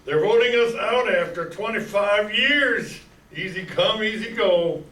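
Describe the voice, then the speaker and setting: Older man acting an excitable, high-voiced old uncle. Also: a little slow, full character voice. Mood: resigned bravado. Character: excitable, folksy fifty-something small-town uncle. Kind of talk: amateur radio play acting. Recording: echoey room, distant mic, audience sounds.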